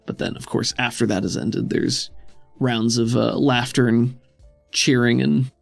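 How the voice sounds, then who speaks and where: A man runs a tabletop game as its game master. His mid-pitched voice has a noticeable creak as he describes scenes conversationally. Music plays behind him. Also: fairly even intonation, a little slow, dry recording. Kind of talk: improvised tabletop game narration.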